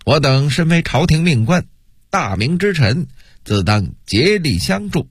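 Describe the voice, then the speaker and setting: A man puts on a haughty military commander from a historical audiobook. He speechifies with pompous authority and scorn, his husky voice rising and falling widely. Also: fairly high pitch, very animated, unhurried, dry recording, clean studio sound, voice pushed up, exaggerated voice. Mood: pompous rallying.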